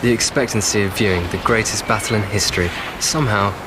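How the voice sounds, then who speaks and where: Low-voiced young British soldier, battle noise behind male actor. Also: fairly even intonation, fast talker, close to an everyday voice. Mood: awed. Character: young British WWI infantryman recalling battle, awed and rueful. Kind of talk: audio drama monologue. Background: artillery fire, outdoor ambience.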